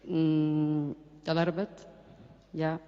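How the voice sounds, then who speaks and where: An audience member asking a question softly and haltingly, often saying "like". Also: mid-range voice, level tone. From Lebanon. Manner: hesitant, soft, halting, uses "like" often. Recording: muffled recording in a reverberant hall.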